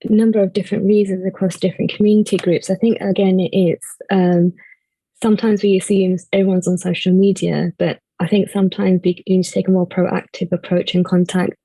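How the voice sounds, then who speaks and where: A woman's voice: mid-range voice, little pitch movement, brisk, mellow, breathy edge, no echo, low-quality recording. A woman speaking as a patient on a webinar panel, earnest and conversational, often saying "like".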